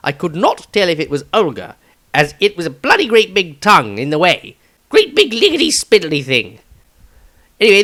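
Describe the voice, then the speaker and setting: A man voicing a fussy, eccentric wizard, expressive, wordy and grumbling, in a fantasy diary story. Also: sits high, voice pushed up, heavily acted. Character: fussy, eccentric male wizard, exasperated and animated.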